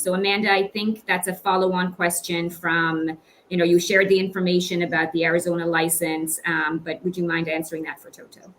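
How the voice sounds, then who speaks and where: A woman's voice: medium pitch, fairly bright tone, poor audio. A middle-aged woman hosting an online question-and-answer session as a recruitment director, encouraging and generous with praise. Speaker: webinar host and recruitment director. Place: small home office indoors. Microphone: computer microphone on video call. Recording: faint background noise on video call.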